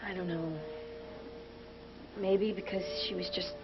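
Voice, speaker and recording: An actress playing a gentle woman confidante who asks calm questions, in a soap opera. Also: fairly low pitch, a little slow, gravelly, noisy background. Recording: dull lo-fi TV recording with music.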